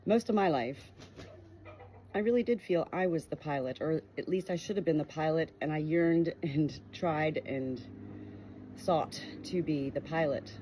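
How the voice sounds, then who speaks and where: A woman's voice: sits low, measured pace, dark tone, a little hiss or hum, rough recording. Earnest woman reading a faith book aloud. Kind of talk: reading a nonfiction book aloud with personal reflection.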